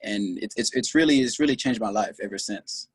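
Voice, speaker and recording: A man's voice: fairly low pitch, breathy edge, dry recording. A young man from Haiti, a guest on a podcast, speaking earnestly and proudly from his clothing shop over a video call. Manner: earnest and proud, speaks in short halting bursts. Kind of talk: casual interview talk.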